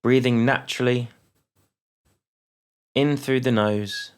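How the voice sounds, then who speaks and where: A man leading a guided mindfulness meditation, speaking calmly and unhurriedly with long pauses between phrases. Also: medium pitch, smooth.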